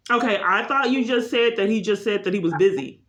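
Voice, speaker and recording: A woman's voice: mid-range voice, fairly quick, fairly bright tone. A woman caller speaking unscripted in an insistent, long-winded complaint, often repeating herself.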